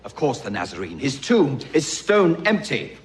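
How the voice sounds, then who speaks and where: A man's voice: medium pitch, wildly swooping pitch, normal speed, slight background noise. A man playing an irate, ruthless Roman official in a church drama, speaking with biting sarcasm and command. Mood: angry, sarcastic. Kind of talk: theatrical church drama dialogue.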